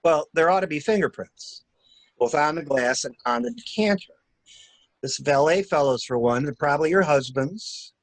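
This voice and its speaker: A man in an amateur mystery drama reading, playing a cool-headed gentleman stranger who briskly and wryly takes charge of a cover-up. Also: fairly high pitch, animated, dry recording, close to an everyday voice, rough recording. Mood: cool, planning.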